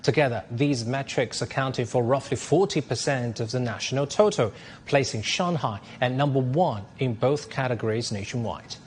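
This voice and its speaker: A man reading business news as a studio anchor, in a measured, formal way. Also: medium pitch, slight background noise.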